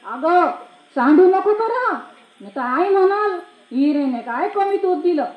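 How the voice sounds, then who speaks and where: A woman's voice: very high voice, lively intonation, measured pace, phone-quality sound, voice pushed up. A woman playing an anxious young milkmaid mother in a stage play, emphatic and pleading, with a rural Maharashtrian accent. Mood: calling out, cheerful. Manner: emphatic, pleading, repeats calls and words. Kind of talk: theatrical one-act play monologue. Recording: echoey room.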